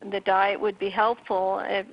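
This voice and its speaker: A woman from Newfoundland talks a caller through advice in a brisk, practical way. Her pitch stays flat and even. The sound is dry and close, with a dull lo-fi radio tone. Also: no echo. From Canada, Newfoundland. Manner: brisk, advising, many restarts. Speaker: radio show host. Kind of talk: casual call-in radio advice.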